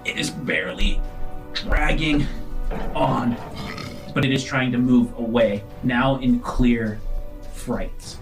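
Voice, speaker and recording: A man running a tabletop game, narrating dramatically and descriptively, with pauses as he searches for words. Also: mid-range voice, poor audio. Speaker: game master. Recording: music behind the voice. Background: music.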